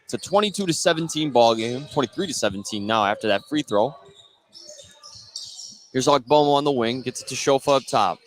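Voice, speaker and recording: A man's voice: medium pitch, animated, brisk, faint music behind. A man doing live radio play-by-play of a basketball game, describing the action in short clipped phrases. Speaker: play-by-play sports commentator. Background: basketball game with bouncing ball.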